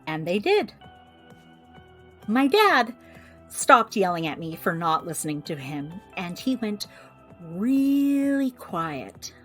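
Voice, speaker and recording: A woman's voice: mid-range voice, sing-song, slow, pauses often, soft background music. A young American woman playing a wry, candid young witch healer, confiding her story in a conversational audio drama narration. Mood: reflective, wry. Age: young adult. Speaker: lead actor and narrator.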